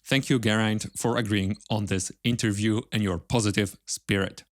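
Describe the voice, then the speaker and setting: A man hosting an interview podcast, speaking in a polite, measured way with formal phrasing. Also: lowish voice, fairly even intonation, dry recording, clean studio sound. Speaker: podcast host. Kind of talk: interview, then podcast sign-off.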